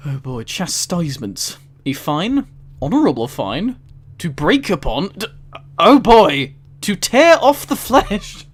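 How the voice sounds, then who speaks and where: Higher-pitched man, casual and giggly. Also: sing-song, takes it slowly. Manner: giggly, easily amused. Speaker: streamer. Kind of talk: casual livestream reading with amused commentary.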